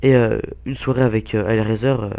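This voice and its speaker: A young man with a hoarse, blocked-nose voice from a cold, giving halting, casual gameplay commentary full of fillers. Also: lowish voice, fairly even intonation, dark tone. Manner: halting, many fillers and restarts. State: hoarse, stuffy-nosed with a cold. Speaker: gaming YouTuber.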